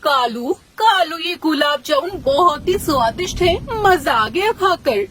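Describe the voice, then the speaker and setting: A woman's voice: high-pitched, lively intonation, unhurried, fairly bright tone, a little hiss or hum. A woman voicing a jealous, gluttonous crow wife in a cartoon story, with a peevish manner. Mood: delighted. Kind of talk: Hindi cartoon story voice acting.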